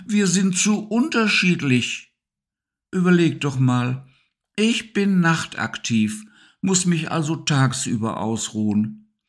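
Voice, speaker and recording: Male reader as a gentle small night creature, raised pitch, unhurried and soothing. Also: lively intonation. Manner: soothing and patient. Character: calm, gentle male nocturnal animal. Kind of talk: storybook reading.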